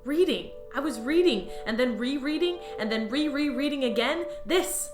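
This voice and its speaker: A woman playing a meek, bookish, hapless young alien office trainee in an audio comedy, earnest and halting. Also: sits high, expressive, roomy sound, music in the background. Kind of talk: scripted audio comedy acting.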